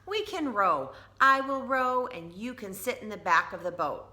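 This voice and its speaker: A woman's voice: mid-range voice, big pitch swings, moderate pace, sharp tone, voice pushed up. A woman voicing an eager, stubborn little girl from a storybook, exclaiming emphatically. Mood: confident.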